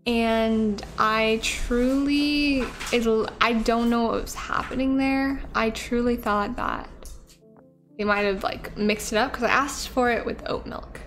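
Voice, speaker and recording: A woman's voice: fairly high pitch, steady tone, takes it slowly, fairly bright tone, soft background music. A young woman streaming from her living-room couch into a studio mic, rambling casually with lots of likes and ums. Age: young adult. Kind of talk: casual chat. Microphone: studio mic on a stand.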